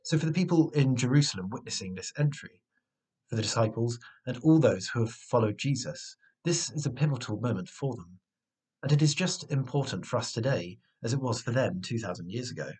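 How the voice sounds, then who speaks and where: A man in his twenties with a slightly husky voice, giving a measured, earnest sermon, recorded indoors at home on a device mic. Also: mid-range voice, animated, darkish tone. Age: 20s. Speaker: preacher. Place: small room at home, indoors. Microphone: webcam or device mic at arm's length.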